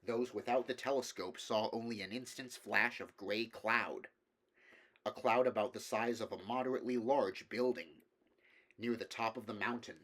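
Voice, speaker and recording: A man reading literary horror fiction aloud, with measured, deliberate dramatic narration. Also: fairly low pitch, fairly even intonation, a bit bright. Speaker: audiobook reader.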